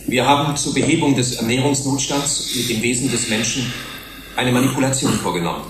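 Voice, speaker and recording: An actor playing an earnest human rights commissioner pleading before a tribunal in a deliberate, rhetorical, formal way, in a German radio drama. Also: male voice, mid-range voice, natural voice. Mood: earnest, measured. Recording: roomy hall echo, faint hiss.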